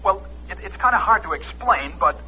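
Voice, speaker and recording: An actor playing an apologetic, hesitant man breaking off an engagement, halting and stammering in 1940s radio drama style. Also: high voice, expressive, pushed and bright, heavily acted. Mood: sheepish, anguished. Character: apologetic, hesitant man jilting his fiancée. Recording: dull, faintly noisy vintage radio recording.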